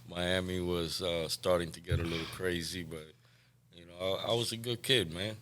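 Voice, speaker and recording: A man in his forties from Cuba, a guest on a recovery podcast, telling his life story candidly and often trailing off softly. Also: sits low, fairly even intonation, moderate pace. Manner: candid, soft-spoken, trails off quietly.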